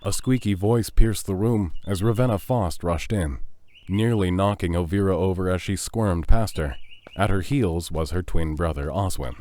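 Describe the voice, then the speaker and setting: A man's voice: low-pitched, a little mellow. A man narrating a scripted audio drama as a storyteller.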